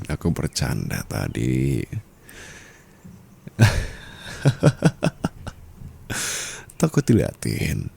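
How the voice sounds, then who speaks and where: Man acting out a very deep, dominant, teasing sugar-daddy husband. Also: full character voice. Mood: playful, coaxing. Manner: slow breathy murmur with soft chuckles, teasing. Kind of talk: ASMR romantic roleplay, intimate.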